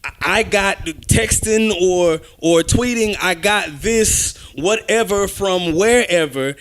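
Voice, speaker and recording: A young man with a notably high voice argues his point with energy. He is emphatic and animated, and jumps in over the others in a casual podcast debate. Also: slow, smooth. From United States. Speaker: podcast co-host.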